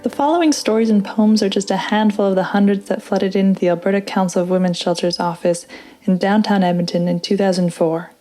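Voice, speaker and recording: A woman giving a measured, earnest scripted reading as narrator of a community story project. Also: medium pitch, warm tone. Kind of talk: scripted reading over music. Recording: music playing under the voice.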